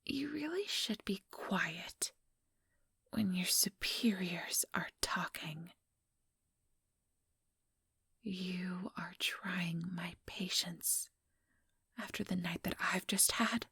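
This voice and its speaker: A woman playing an ambitious vampire elder in a whispered ASMR roleplay, breathy, deliberate and patronizing, with cool menace. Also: fairly low pitch, bright, forceful tone, no room sound, clean studio sound, heavily acted. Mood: cold, scornful.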